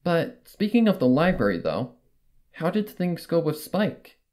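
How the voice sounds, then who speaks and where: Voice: mid-range voice, very animated, unhurried, darkish tone, pitched above the performer's own voice. A narrator voicing an anxious, caring young female pony who speaks earnestly and politely in a fan fiction reading.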